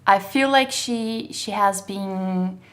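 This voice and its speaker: A young woman from Brazil speaks English with a Brazilian Portuguese accent as a guest in a relaxed interview. She hesitates often, fills gaps with little words and laughs easily. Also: medium pitch, takes it slowly. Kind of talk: casual interview chat.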